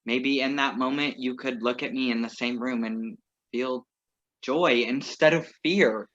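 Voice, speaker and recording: A man's voice: mid-range voice, fairly bright tone, lightly acted. A man reading the part of a composed, wry ex-lover who crashes a wedding, in a staged play reading over a video call. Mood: earnest. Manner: measured, wry, earnest. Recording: muffled video call audio.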